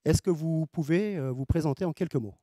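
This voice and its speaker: A man's voice: on the high side, fairly quick, warm tone, dry recording. A man interviewing two circus performers, asking questions politely and with some hesitation.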